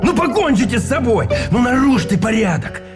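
High-pitched haughty colonel. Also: male voice, sing-song, fairly quick, music in the background. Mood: urgent, pleading. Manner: emphatic, theatrical, declaiming. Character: haughty, cynical male army colonel pleading for patriotic sacrifice. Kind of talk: Russian radio play acting.

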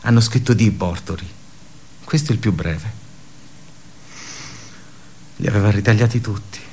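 An actor playing a brooding, darkly ironic office worker in a radio theatre monologue, halting and conversational with emphatic bursts. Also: male voice, fairly low pitch, mellow, a little hiss or hum, lightly acted. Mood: wistful recollection.

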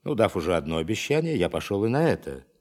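Commanding, expressive low-voiced official. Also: male voice, fast talker. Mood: earnest, emphatic. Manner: emphatic, formal oratory. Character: forceful male science official chairing a secret meeting. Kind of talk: radio play acting.

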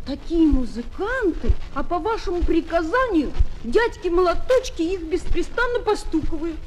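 A woman's voice: very high voice, moderate pace, slight background noise, rough recording. A woman voicing a curious, kind-hearted little boy with an earnest, childlike manner in a children's audio play. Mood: earnest, concerned. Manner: childlike, inquiring, expressive.

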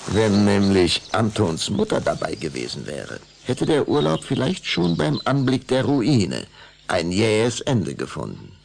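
A man's voice: on the low side, wildly swooping pitch, unhurried, vocal fry, a little hiss or hum. A man narrating a children's audio drama in German as a suspenseful storyteller.

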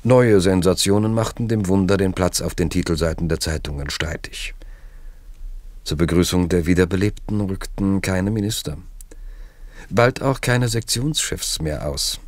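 An actor playing a wry, solemn chronicler in a 1960s radio play, measured and literary. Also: male voice, low-pitched, no echo, lightly acted. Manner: measured, literary, dryly ironic. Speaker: narrator.

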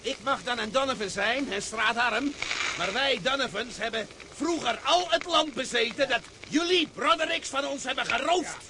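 An actor playing a drunken, embittered, threatening mine worker in a radio play, aggressive and sneering. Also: male voice, extremely high pitch, expressive, moderate pace, background noise.

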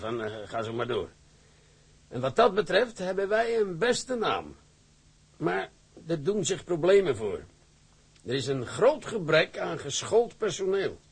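A stern older shipping director lays out his plans in a gravelly, husky voice. His delivery rises and falls in a lively way as he lectures a young sailor. It is radio drama, with a firm and authoritative manner. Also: mid-range voice.

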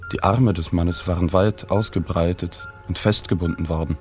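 An actor playing a sober, observant journalist in a literary radio drama, measured and reflective. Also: male voice, low-pitched, steady tone, darkish tone, faint music behind, close to an everyday voice. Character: sober, observant male journalist recounting a reportage. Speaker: narrator and journalist.

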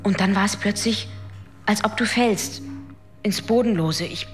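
A woman's voice: mid-range voice, a little slow, soft background music, close to an everyday voice. An actress playing an introspective, entranced woman recalling her experiences in a German radio drama. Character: introspective, entranced female swarm member. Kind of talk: German radio drama acting, hushed recollection.

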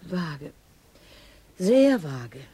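An actress playing a distracted, lovestruck police inspector in a naturalistic radio drama, with a hesitant, dry delivery. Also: female voice, fairly low pitch, very animated, very slow, dark tone, breathy edge, no room sound, heavily acted. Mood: distracted, wry.

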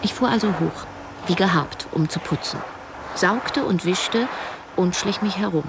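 A woman's voice: lowish voice, a little slow, heavy background noise. An actress playing a wry, stubborn cleaning woman who confides her story in a German radio drama. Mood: confiding, brooding. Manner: confiding, hesitant in dialogue. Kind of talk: German radio drama first-person narration.

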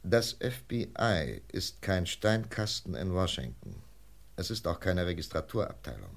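An actor playing a methodical, persuasive FBI investigator in a German radio crime drama, measured, lecturing and emphatic. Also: male voice, sits low, big pitch swings, creaky, full character voice. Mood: insistent, persuasive.

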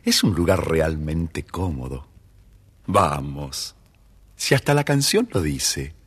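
A man's voice: rumbling low, animated, slow, breathy edge, no echo. A man narrating a scripted children's story as the storyteller.

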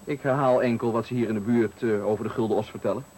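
Radio thriller role: male actor as sly, probing stranger, measured and knowing, faintly noisy 1980 recording. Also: lowish voice, fairly even intonation, darkish tone, lightly acted. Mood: sly, insinuating. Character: prying, sly stranger at a night bus stop.

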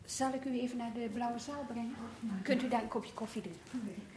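An actress plays a careful female care worker in a radio play. She speaks politely and tactfully in a fairly high voice. The recording carries faint noise. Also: fairly even intonation, moderate pace, natural voice. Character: patient, careful woman care worker mediating in a family. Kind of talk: Dutch radio play acting.

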